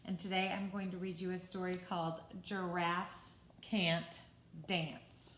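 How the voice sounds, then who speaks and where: A woman's voice: lowish voice, little pitch movement, unhurried, fairly bright tone, slight background noise. Woman reading a children's story, echoey room. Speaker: preschool teacher reading stories. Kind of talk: expressive picture-book read-aloud for children. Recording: roomy, echoey sound.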